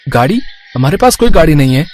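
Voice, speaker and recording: A man's voice: on the high side, big pitch swings, fast, a little mellow, slight background noise. A man playing earnest family men in a cartoon story, in acted dialogue. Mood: matter-of-fact. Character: earnest husband, also indignant father of bride.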